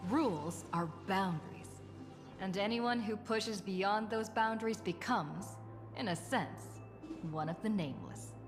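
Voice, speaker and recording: A woman voicing a calm, kind young heroine in a video game, sincere and measured. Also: mid-range voice, lightly acted. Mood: solemn. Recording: long reverb, music behind.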